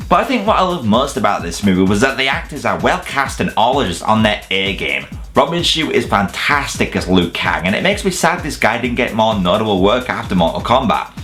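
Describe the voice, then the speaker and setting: Animated, low-voiced man reviewing with blunt wit. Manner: sweary, blunt, wry. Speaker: video reviewer presenting a top ten list. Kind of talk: opinionated scripted video review.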